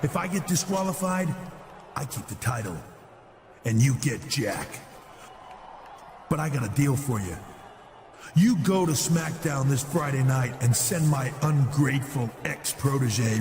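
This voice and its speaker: A man's voice: mid-range voice, expressive, unhurried, long pauses. A man voicing an arrogant wrestling champion who taunts and threatens his challenger in a video game cutscene. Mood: cocky, threatening. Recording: noisy game cutscene audio.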